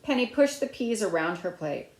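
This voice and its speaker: A woman's voice: mid-range voice, lively intonation, moderate pace. Woman reading a storybook in a roomy space. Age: middle-aged. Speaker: storybook reader. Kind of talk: children's picture book read-aloud. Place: small room at home by a window, indoors. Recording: roomy, echoey indoor sound.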